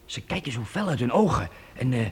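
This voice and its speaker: An actor playing a shy, eager young apprentice artist in a radio play, hesitant and stammering. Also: male voice, medium pitch, very animated, a little fast, slightly rough, full character voice. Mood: timid. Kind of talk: radio drama acting.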